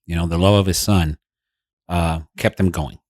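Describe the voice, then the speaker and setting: A man's voice: low-pitched, steady tone, moderate pace, darkish tone, dry recording, clean studio sound. A man co-hosting a podcast, explaining ideas in casual chat and repeating words as he thinks. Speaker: podcast co-host.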